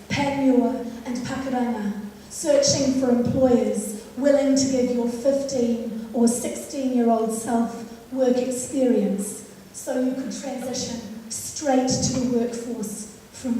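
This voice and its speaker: A hoarse woman in her fifties giving a composed, reflective funeral eulogy at a church lectern, with a wry touch. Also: on the high side, dark tone, distant mic, a little hiss or hum. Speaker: eulogist, eldest daughter. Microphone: microphone at a lectern. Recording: echoey hall, picked up from afar.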